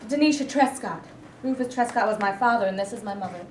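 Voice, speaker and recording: A woman playing an indignant twenty-year-old daughter at her father's funeral, protective and sharp. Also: medium pitch, fast, a little hiss or hum, natural voice. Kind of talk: staged play reading. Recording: echoey room, distant mic.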